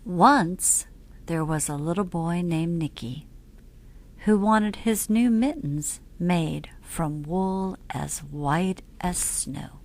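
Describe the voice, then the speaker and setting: A woman reading a children's picture book aloud in an expressive, measured storytelling style with emphatic phrasing. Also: on the low side. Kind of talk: expressive scripted storybook narration.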